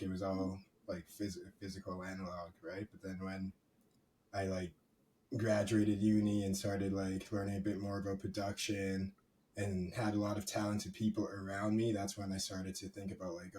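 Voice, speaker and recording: Man, low monotone voice, laid-back rambling talk, heard from a distance with faint noise. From Canada. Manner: relaxed, rambling, many "like" and "you know" fillers. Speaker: guest, music executive. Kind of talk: casual interview talk.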